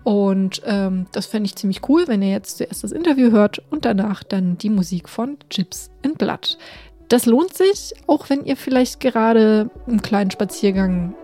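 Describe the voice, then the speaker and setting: A woman's voice: mid-range voice, animated, measured pace, dark tone. A woman hosting a radio show and podcast, talking casually with fillers and restarts and poking fun at herself. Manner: chatty, self-deprecating, with fillers and restarts. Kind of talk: casual radio intro and interview. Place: radio studio.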